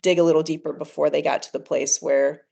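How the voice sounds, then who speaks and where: A woman's voice: low-pitched, flat delivery, a little fast, creaky, warm tone, muffled recording. A woman in her thirties from Ecuador, a counselor chatting as a podcast guest and laughing often. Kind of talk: casual podcast interview.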